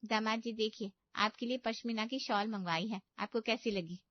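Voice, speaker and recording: Woman doing a fussy young lady for a cartoon, kinda high, emphatic, bit dull sounding audio. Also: moderate pace, no room sound, close to an everyday voice. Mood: polite, fussy. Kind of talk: Hindi comedy cartoon story dubbing.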